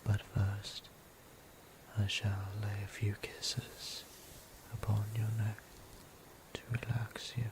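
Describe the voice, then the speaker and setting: Over clear background noise, a gentle vampire lover played by a man whispers slowly and breathily. His speech is courteous, old-fashioned and careful, with a low, even pitch, as in an intimate audio drama. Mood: soothing, intimate.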